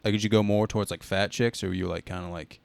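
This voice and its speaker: A man hosting a podcast, chatting casually with crude, teasing jokes in a low voice. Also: fairly even intonation, quick pace, creaky, no room sound. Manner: joking, teasing, blunt. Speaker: podcast host.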